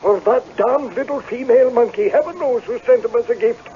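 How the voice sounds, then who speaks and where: An actor in a radio drama playing a laird's stern, gloomy Scottish rent collector, grave and full of foreboding. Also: male voice, extremely high pitch, fairly quick. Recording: muffled old radio broadcast, faint noise.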